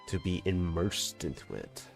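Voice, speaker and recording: A man's voice: sits low, lively intonation, measured pace, creaky, soft background music. A man chatting casually on a gaming livestream, talking in slang and swearing freely. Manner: slangy, swearing, scat-singing to himself. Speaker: game streamer.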